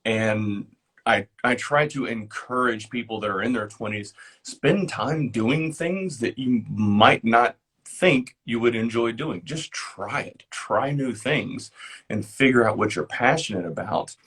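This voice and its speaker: A middle-aged man, a guest life coach, speaking in an earnest, measured way about his career. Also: medium pitch, a bit bright, husky. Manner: earnest, measured, repeats words when restarting. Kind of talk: reflective interview talk.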